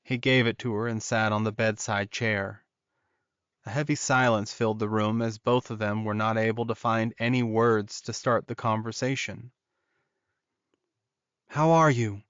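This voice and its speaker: A man's voice: fairly low pitch, level tone, moderate pace, a bit bright, clear, resonant tone, no room sound. Male audiobook narrator, dry muffled sound. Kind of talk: scripted fiction narration.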